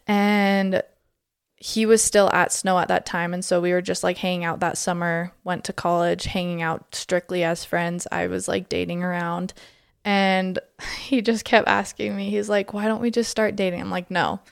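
A woman's voice: on the low side, flat delivery, moderate pace, vocal fry, clean tone, dry recording, studio quality. A woman in her twenties telling her life story as a podcast guest, in a chatty way full of "like" fillers. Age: 20s. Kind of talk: casual storytelling.

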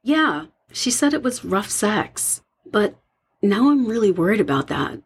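A woman's voice: mid-range voice, sing-song, a little slow, breathy edge, full character voice. An actress playing a young woman, a worried and defensive roommate with a hesitant manner, in an audio drama. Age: young adult. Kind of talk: naturalistic audio drama acting.

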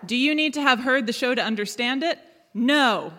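A woman's voice: high voice, moderate pace, background noise. A woman giving dramatic scripted narration as the presenter of a live audio drama. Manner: dramatic, theatrical storytelling. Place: live stage in a hall. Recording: echoey hall, live stage.

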